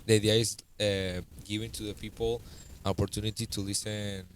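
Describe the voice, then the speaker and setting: Halting Spanish-accented man, low voice. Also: little pitch movement, a little hiss or hum. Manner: searching for English words, halting, broken grammar. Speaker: podcast host. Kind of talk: casual interview in a second language.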